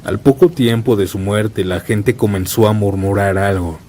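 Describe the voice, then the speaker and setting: Low-voiced man telling horror stories over rain. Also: normal speed. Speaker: horror story narrator. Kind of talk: scripted first-person horror storytelling. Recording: steady rain and thunder noise bed. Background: rainstorm with thunder.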